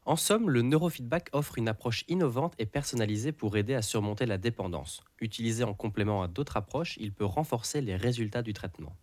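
A man's voice: medium pitch, fairly quick, few pauses, a little mellow, no echo. A man co-hosting a podcast, delivering scripted explanations in an articulate, didactic manner. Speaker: podcast co-host.

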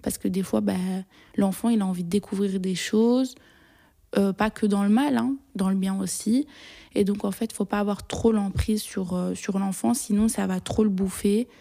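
A young woman from Belgium, answering interview questions shyly, with many pauses, fillers and restarts. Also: mid-range voice, steady tone, creaky, dark tone. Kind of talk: hesitant personal interview answers.

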